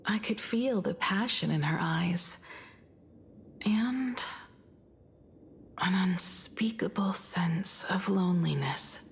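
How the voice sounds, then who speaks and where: A calm, reflective game heroine. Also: female voice, lowish voice, slow, pauses often, slight background noise. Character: composed adult woman recalling an old friend. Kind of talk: game voice acting. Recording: game audio over loud music. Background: game music.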